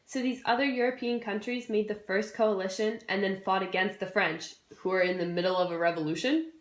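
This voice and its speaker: A woman's voice: medium pitch, fairly even intonation, a little fast. A young woman, a student presenter, delivering a scripted history skit in an informal, jokey way. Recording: roomy, echoey room sound.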